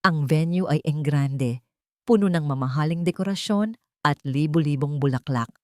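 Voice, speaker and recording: Filipino lady storyteller, lowish voice, dramatic scripted narration, really dry recording. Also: moderate pace, warm tone, no room sound.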